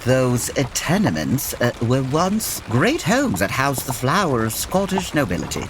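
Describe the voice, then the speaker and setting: Victorian detective, proper and precise, very animated intonation, audio comedy, noisy rainy backdrop. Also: male voice, mid-range voice, measured pace. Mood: explanatory. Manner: formal, precise, gently pompous. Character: composed, courteous Victorian gentleman detective. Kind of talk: comedy audio drama acting. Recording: noisy, rain-like hiss behind.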